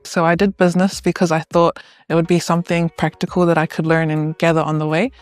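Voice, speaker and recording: Lower-voiced young woman student. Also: level tone, fast, soft background music. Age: young adult. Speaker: commerce student.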